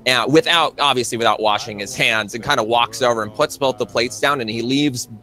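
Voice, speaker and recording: Male game master, medium pitch, casual improvised scene narration for tabletop roleplay, light music underneath. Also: fairly quick, bright, forceful tone, rough recording. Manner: casual, descriptive.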